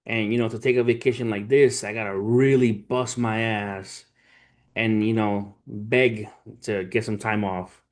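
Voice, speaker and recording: Male livestream host, thirties, lowish voice, loose slangy delivery, profane, casual storyteller speaking straight to his followers. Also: steady tone, unhurried. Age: 30s. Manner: informal, street slang, profane, addresses viewers as family. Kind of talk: casual livestream chat, storytelling and motivational talk.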